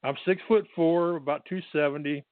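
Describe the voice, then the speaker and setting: A man's voice: a little high, steady tone, normal speed, no echo. A chatty man with a Texan drawl talks in a slightly raised voice, rambling with fillers and restarts. The sound is tinny and phone-like and completely dry. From Texas. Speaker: guest author. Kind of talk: casual interview. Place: bedroom at home.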